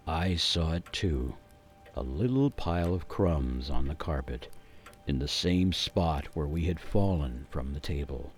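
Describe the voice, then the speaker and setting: A man playing a grave gingerbread man, narrating a radio play as a haunted survivor with theatrical suspense and weighty pauses. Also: low voice, a little mellow. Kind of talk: dramatic first-person storytelling for a radio play.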